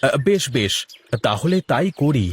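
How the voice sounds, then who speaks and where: A man playing a humble, kind samosa-selling husband in a cartoon, homely and gently preachy. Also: medium pitch, big pitch swings, measured pace, slight background noise. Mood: gentle, practical. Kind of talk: cartoon story dialogue.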